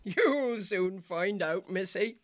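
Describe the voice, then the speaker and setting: A man's voice: sits high, expressive, slow pace, no room sound, clean studio sound, pitched above the performer's own voice. A man voicing a big, motherly female otter who speaks in a folksy rustic dialect.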